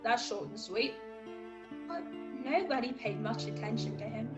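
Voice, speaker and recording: A woman's voice: on the high side, animated, normal speed, lo-fi sound, over loud music, lightly acted. A woman playing an earnest young cabin boy who narrates his sea tale in a scripted radio play. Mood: earnest, storytelling.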